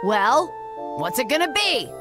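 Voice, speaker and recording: Brisk, direct young game heroine. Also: female voice, high voice, very animated, music in the background. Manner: direct and questioning. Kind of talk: video game cutscene voice acting.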